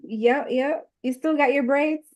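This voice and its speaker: A woman's voice: high-pitched, unhurried. A woman hosting a live stream interview, laid-back and laughing along with her guests. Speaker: host.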